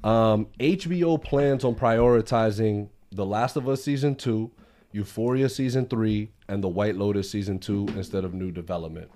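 A man in his twenties, co-hosting a casual podcast livestream; relaxed and slangy, laughing often as he reads out the news. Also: on the low side, normal speed. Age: 20s. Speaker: podcast co-host reading news.

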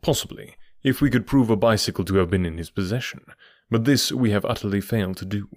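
A man voicing a brisk, methodical middle-aged male detective who explains his reasoning with precise confidence. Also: lowish voice, no echo, studio quality, natural voice. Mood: lecturing.

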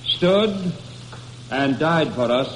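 High-voiced, stately solemn orator. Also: male voice, lively intonation, drawn-out words, heavy background noise. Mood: solemn, commemorative. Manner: formal, oratorical. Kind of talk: old-time American radio drama acting.

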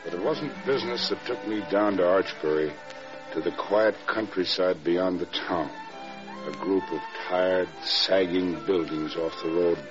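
An actor playing a reflective former American army major, telling his story in a measured vintage radio drama style. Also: sits low. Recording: muffled old radio recording with loud music.

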